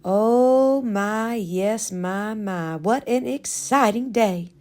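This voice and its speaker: A woman voicing an elderly great-great-aunt who reminisces fondly and dramatically in a storybook, savoring her pauses. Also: mid-range voice, darkish tone. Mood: proud, excited.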